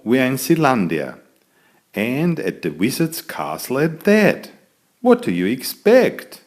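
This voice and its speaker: A male author narrates his own children's bedtime story. His pace is unhurried, and his pitch rises and falls expressively as he reads.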